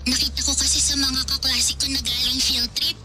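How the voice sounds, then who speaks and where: A woman doing an earnest young girl, high voice. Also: level tone, fairly quick, lightly acted. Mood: eager. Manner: pleading, earnest, childlike. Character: young girl waiting by the phone for her friend. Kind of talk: radio drama acting. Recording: loud music underneath.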